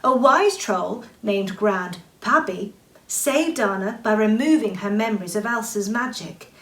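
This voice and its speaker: Roomy, higher-voiced woman reading a bedtime story. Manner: animated, dramatic emphasis with drawn-out words. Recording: roomy echo, distant from the mic.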